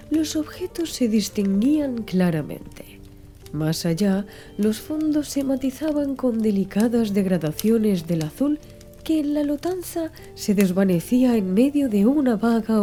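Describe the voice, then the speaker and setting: Female audiobook narrator, very dark soft-edged tone, lively melodic delivery, music underneath. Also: fairly high pitch, measured pace. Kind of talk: scripted literary audiobook narration.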